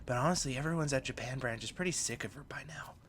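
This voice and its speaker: A man's voice: medium pitch, quick pace, fairly bright tone, a little hiss or hum, natural voice. An actor playing a weary young male agent in a game, grumbling frankly about a superior. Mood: fed up.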